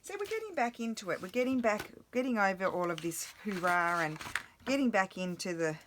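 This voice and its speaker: A woman vlogging while she crafts, chatting casually and thinking aloud, her voice a little croaky from the morning. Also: medium pitch, lively intonation, fairly quick, a bit bright, slight background noise. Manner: rambling, self-interrupting, thinking aloud.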